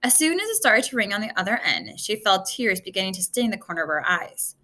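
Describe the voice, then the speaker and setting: A woman reading a children's adventure novel aloud in an expressive way, occasionally stumbling over a word. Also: medium pitch, brisk. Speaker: storybook reader.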